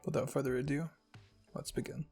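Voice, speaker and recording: A narrator with a husky, creaky voice reading fan fiction in a soft scripted storytelling style. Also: mid-range voice, fairly even intonation, a little fast. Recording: music behind the voice.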